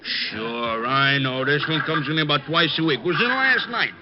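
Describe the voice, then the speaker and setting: An American man playing a slow-witted, talkative bartender in 1940s radio style, blunt and slangy. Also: on the high side, lively intonation, noisy background, lightly acted. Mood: eager recall. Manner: blunt, slangy, hesitant, ungrammatical. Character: gruff, slow-witted, talkative male bowling alley bartender. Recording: muffled vintage radio, crowd chatter behind.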